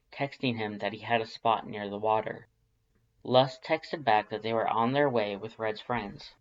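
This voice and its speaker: A narrator reading scripted fiction in a low-key, measured manner. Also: lo-fi sound.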